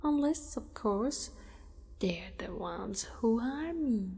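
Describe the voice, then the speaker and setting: A woman voicing a possessive, doting female spider monster in an ASMR roleplay, with formal wording and a hushed delivery that often slips into whispers. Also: fairly high pitch, animated, slow. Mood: possessive, soothing. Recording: long reverberant echo.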